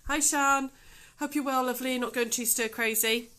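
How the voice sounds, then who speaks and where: Casting: middle-aged woman, bright tone, mildly snuffly, informal chatty craft-livestream host. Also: high voice, fairly even intonation, moderate pace. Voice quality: slightly snuffly nasal. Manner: chatty, greeting viewers by name with endearments. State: snuffly from allergies. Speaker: craft tutor hosting a livestream. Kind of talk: casual live chat to viewers. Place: dining room at home. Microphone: phone on livestream.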